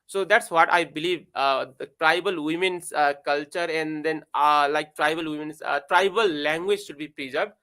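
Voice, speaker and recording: A man's voice: a little high, normal speed, few pauses, bright, forceful tone. A man giving an academic presentation, speaking hesitantly with many filler words.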